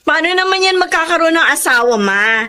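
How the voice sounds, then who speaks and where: A talkative, dramatic young sister in a Filipino radio drama. Her voice is high, with a playful, joking manner. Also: expressive, a little fast, sharp tone, a little hiss or hum, full character voice. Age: 30s. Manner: chatty, playful. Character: chatty extroverted twin sister, dramatic and joking.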